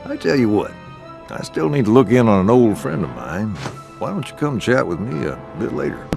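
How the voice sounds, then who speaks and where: An actor playing a folksy, courteous balloon aeronaut in a video game, easygoing and amused. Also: male voice, medium pitch, sing-song, normal speed, vocal fry, darkish tone, soft background music. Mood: amused, friendly. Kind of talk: video game cutscene acting.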